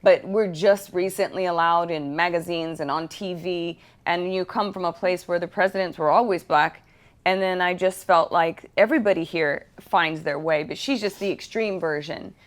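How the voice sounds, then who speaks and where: Bahamas-raised actress guest, lowish voice, sincere storyteller. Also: female voice, moderate pace. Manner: earnest, reflective storytelling. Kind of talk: casual interview chat.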